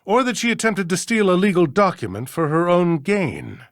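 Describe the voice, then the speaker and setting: A stern, self-important judge from a mystery game. The delivery is pompous and formal with wide swings of pitch, recorded very dry. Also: big pitch swings, moderate pace, dry recording. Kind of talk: video game voice acting.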